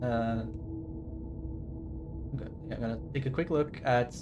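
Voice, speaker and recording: A man's voice: medium pitch, slow, noisy background. A man streaming a game with casual, rambling commentary, wry and casually profane. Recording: stream with game music behind.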